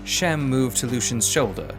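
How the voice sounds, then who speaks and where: A man reading dramatic first-person fiction in a deliberate, literary manner. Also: mid-range voice, expressive, faint music behind. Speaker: audiobook narrator.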